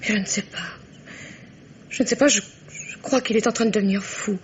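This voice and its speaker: An actress playing a frightened, distressed wife in an old French radio mystery, speaking haltingly and repeating her words. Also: medium pitch, steady tone, husky. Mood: anguished. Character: frightened, distressed wife fleeing a violent husband. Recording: dull, noisy vintage radio recording.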